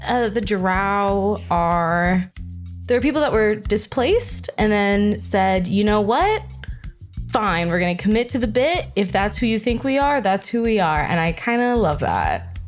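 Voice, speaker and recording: A woman's voice: medium pitch, big pitch swings, unhurried. Lady on a podcast just chatting, sardonic and playful, leans on words, bit of music under her. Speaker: podcast panelist. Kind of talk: casual chat.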